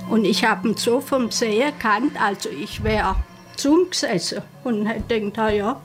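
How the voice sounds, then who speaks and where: A woman's voice: a little high, moderate pace. Woman recalling events over music. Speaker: interviewed witness. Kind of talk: spoken recollection in an interview.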